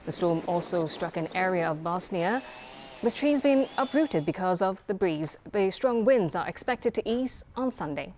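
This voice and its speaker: A woman's voice: fairly low pitch, expressive, normal speed, darkish tone, a little hiss or hum. A woman anchor reading scripted television news.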